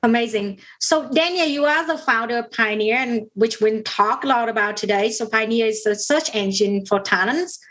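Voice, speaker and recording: A woman's voice: fairly high pitch, normal speed, few pauses, a bit bright, poor audio. A woman moderating a fireside chat, introducing her guest and asking questions in a conversational interview style.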